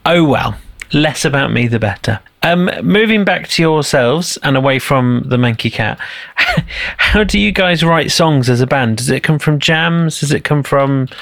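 Easygoing podcast host from Leeds. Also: male voice, fairly high pitch, expressive, a little slow, gravelly, a bit bright, no echo. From Leeds, England. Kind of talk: casual interview chat.

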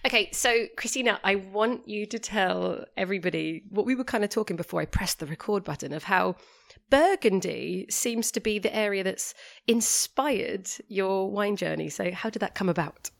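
A woman hosting a wine podcast, reading her intro with lively enthusiasm, then interviewing her guest. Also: normal speed, gravelly. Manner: enthusiastic, expressive delivery with swooping pitch. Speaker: podcast host.

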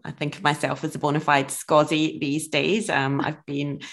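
A woman's voice: deep voice, level tone, moderate pace. A woman from Glasgow with a Scottish accent, chatting casually as a podcast guest in a hesitant, thoughtful way. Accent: Glaswegian Scottish. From Glasgow, Scotland. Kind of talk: casual chat.

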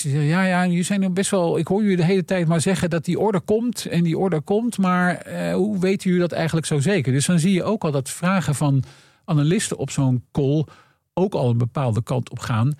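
A man's voice: on the high side, brisk, vocal fry, a little mellow, dry recording. Man explaining in a dry-sounding room. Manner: acts out imagined dialogue while explaining. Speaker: guest analyst explaining earnings calls. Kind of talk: conversational explanation with anecdotes.

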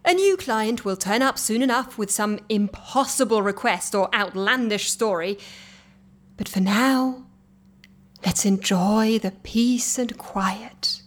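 An actress playing a brisk, droll, capable woman who gently bosses an eccentric office in an audio comedy. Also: mid-range voice, natural voice. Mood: gently bossy. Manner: droll, organised, gently bossy. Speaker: actor in a comedy fiction podcast. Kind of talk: audio comedy drama acting.

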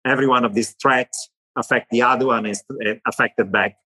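A middle-aged economist with an Italian accent, answering interview questions in long animated lists. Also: male voice, mid-range voice, a little fast. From Italy. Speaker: economist guest.

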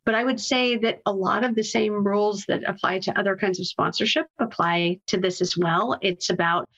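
A woman speaking as an interview guest in a conversational business style, measured and explanatory, on a slightly lo-fi connection. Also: medium pitch, fairly even intonation, a bit bright. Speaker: guest, marketing executive.

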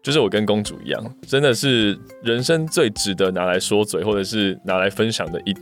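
A man's voice: on the low side, brisk. A man with a Taiwanese Mandarin accent co-hosting a casual podcast chat. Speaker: podcast co-host. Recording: music playing underneath.

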